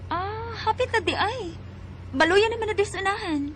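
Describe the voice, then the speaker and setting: A young Filipino woman with a very high, girlish pitch. She talks in a friendly, easygoing way in a radio drama, with clear noise in the recording. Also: moderate pace. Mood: amused, friendly.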